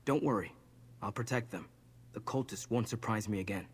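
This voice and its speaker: A man voicing an earnest, protective young man with a husky voice in a video game. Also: fairly low pitch, normal speed, slight background noise, lightly acted. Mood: calm, reassuring. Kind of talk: video game character dialogue.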